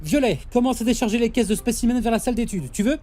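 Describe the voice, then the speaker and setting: A man playing a brisk, bossy, impatient spaceship captain who gives clipped orders in an audio drama. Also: very high voice, expressive, crowd noise. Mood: commanding.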